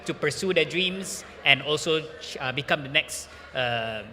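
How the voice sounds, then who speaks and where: A man's voice: on the high side, animated, measured pace, bright, forceful tone. A man, a sim racer, introducing himself on stage in fluent English with some fillers. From Malaysia. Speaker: sim racing competitor. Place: event stage.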